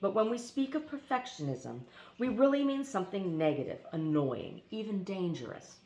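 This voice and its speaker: A woman reading a philosophy essay aloud in a deliberate, emphatic way, now and then stumbling on foreign names. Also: mid-range voice, sing-song, darkish tone, dull audio. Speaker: reader. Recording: echoey room.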